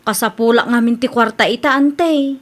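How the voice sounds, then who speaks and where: Woman playing a high-voiced, wheedling Ilocano debtor. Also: moderate pace, natural voice. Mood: pleading. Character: evasive, pleading younger woman always short of money. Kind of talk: Ilocano comedy radio drama acting.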